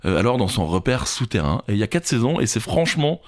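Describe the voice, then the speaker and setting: A man's voice: fairly low pitch, sing-song, fast talker. A man co-hosting a quiz podcast in a casual, light-hearted, joking style. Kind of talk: casual comedic quiz banter.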